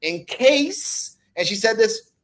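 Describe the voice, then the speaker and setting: A man's voice: high-pitched, sing-song, slow, a bit bright. A man from the Bronx talking casually as a podcast guest, rambling and slangy, with swearing mixed in. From the Bronx, New York, United States. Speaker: guest, online content creator and podcaster. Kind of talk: casual conversational podcast talk.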